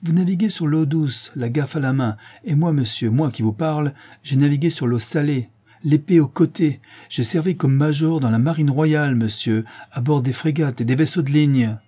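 A man voicing a pompous, excitable old ship's doctor who speaks vehemently and theatrically. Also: mid-range voice, moderate pace, slightly rough, pitched above the performer's own voice. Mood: indignant. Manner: vehement, theatrical, raises his voice.

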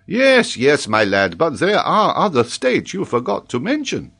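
An excitable French professor acted out by a male narrator, pitched up and swooping dramatically. He draws out his exclamations with theatrical, mock-admiring amazement, as in a storybook. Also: unhurried, vocal fry, full character voice. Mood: excited disbelief.

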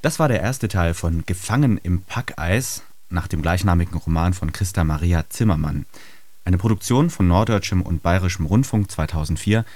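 Male presenter, low voice, informal and chatty, close dry studio sound. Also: normal speed, a little mellow, no room sound. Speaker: radio show co-host. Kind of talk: chatty radio presentation for children.

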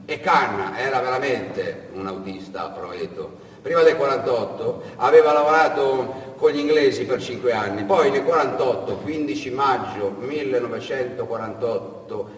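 A man narrating a dramatic stage monologue as an actor, with deliberate pauses and swells. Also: medium pitch. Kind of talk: theatrical storytelling monologue. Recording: echoey hall, distant mic, faint noise.

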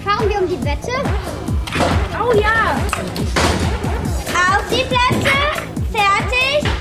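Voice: extremely high pitch, expressive, slow, loud music behind the voice, exaggerated voice. An actor playing an eager young Afghan boy in a radio drama. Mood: excited, delighted.

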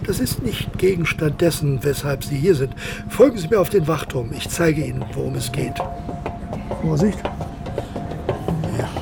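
High-pitched, creaky, stern army officer. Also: male voice, expressive, normal speed, pauses often, over loud music. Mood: formal, controlled. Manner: clipped, official, commanding. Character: stern, formal male military officer in command. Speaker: actor in radio drama. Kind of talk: German radio drama acting.

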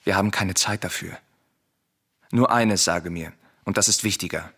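An actor playing a secretive, protective exiled prince in a solemn radio tragedy, speaking gravely and with urgency. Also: male voice, sits low, normal speed, pushed and bright, exaggerated voice. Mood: urgent caution. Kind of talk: solemn radio drama acting of verse tragedy. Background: music.

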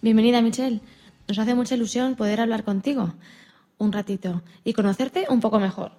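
A woman's voice: fairly high pitch, steady tone, brisk, mellow, soft background music. A woman co-hosting a radio programme in a conversational interview style.

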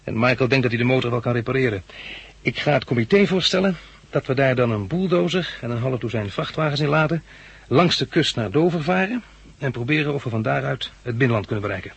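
A man's voice: medium pitch, lively intonation, quick pace, vocal fry, husky, no room sound. An actor playing a practical, easygoing male survivor in a 1960s radio drama.

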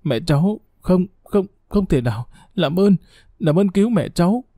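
A man's voice: on the high side, animated, a little fast, dark tone, no room sound, clean studio sound, natural voice. A man voicing a frantic young pregnant woman begging for her dying mother, pleading and choked with tears. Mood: sobbing, pleading.

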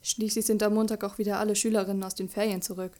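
A woman's voice: mid-range voice, flat delivery, rapid-fire, dark tone, a little hiss or hum, close to an everyday voice. A woman playing an outspoken, friendly boarding-school girl in a radio play, polite but candid. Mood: chatty. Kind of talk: German radio-play acting.

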